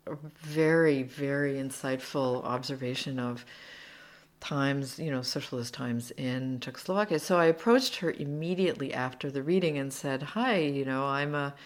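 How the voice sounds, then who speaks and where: A woman translator, speaking as an interview guest and telling animated, self-deprecating stories about learning languages, laughing easily. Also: a bass voice, normal speed. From United States. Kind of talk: conversational storytelling.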